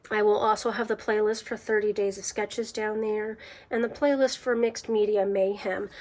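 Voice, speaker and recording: Sniffly woman with a cold, chatty. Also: a little high. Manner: chatty, rambling, self-correcting. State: stuffy with a cold. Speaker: scrapbooking channel host. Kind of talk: casual craft process chat.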